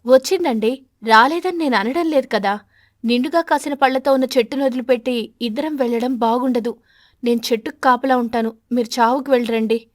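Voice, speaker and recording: A woman voicing an indignant wife pigeon in an animated story, scolding and firing accusing questions. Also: a little high, brisk, no echo, clean studio sound, lightly acted. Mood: defensive.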